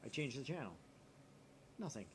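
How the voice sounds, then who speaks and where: Male gaming host, animated mid-pitched voice, theatrical story narration, noise in the background. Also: moderate pace. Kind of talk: expressive visual novel read-aloud.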